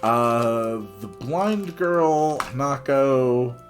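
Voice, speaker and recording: A man's voice: medium pitch, drawn-out words, soft background music. A man reading a story aloud expressively, dropping in wry, casual asides of his own. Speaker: host reading a visual novel aloud.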